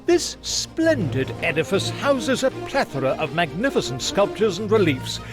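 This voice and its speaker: A man's voice: high-pitched, big pitch swings, moderate pace, music in the background. A man playing a pompous, long-winded narrator in a cartoon game, delivering florid, theatrical narration. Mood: grandiose. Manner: pompous, verbose. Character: pompous, florid male storyteller and tour guide.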